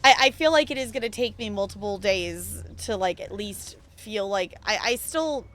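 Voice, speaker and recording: A woman's voice: medium pitch, animated, moderate pace, a bit bright. A woman streamer chatting casually while she plays, laughing often and talking to the game. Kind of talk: casual chat while gaming.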